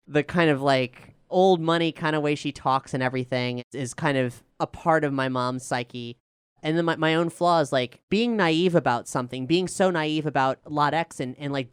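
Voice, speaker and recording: A woman chatting casually and candidly as a show creator, telling stories in an animated, self-analytical way. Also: low voice, clean tone, no room sound, studio quality. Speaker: show creator and writer answering questions. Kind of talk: casual reflective Q&A chat.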